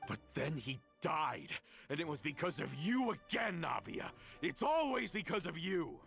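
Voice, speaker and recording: An actor playing a bitter, vengeful middle-aged man in a game cutscene, accusing and resentful and rising to shouts, with a gravelly voice. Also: a little high, sing-song, unhurried, faint music behind, exaggerated voice. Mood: accusing, furious. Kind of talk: dubbed game voice acting.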